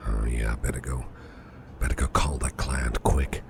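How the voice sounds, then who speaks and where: A man playing a caring, protective businessman boss in an ASMR roleplay, speaking in a soothing, reassuring, breathy half-whisper. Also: rumbling low, level tone, normal speed, very noisy. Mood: tender, reassuring. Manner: soothing, reassuring, affectionate, gently murmured. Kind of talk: soft ASMR roleplay, personal attention.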